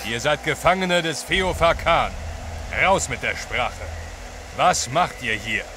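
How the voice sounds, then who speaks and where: An actor playing a menacing Tatar warrior in an audio drama, commanding and threatening. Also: male voice, fairly high pitch, lively intonation, slow pace, background noise. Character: menacing Tatar warrior barking orders at captives.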